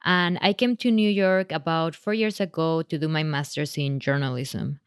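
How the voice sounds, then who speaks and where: Low-voiced Mexican-accented woman podcaster. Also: moderate pace, clean tone, no room sound, clean studio sound. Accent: Mexican Spanish. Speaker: podcast host. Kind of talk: podcast intro and interview.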